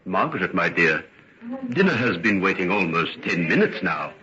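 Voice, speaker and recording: Polite firm dad hosting dinner, low voice, muffled old radio play. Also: male voice, big pitch swings, normal speed, over music. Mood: courteous, firm. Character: courteous, firm middle-aged father and dinner host. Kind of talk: old radio drama acting. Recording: dull vintage radio recording.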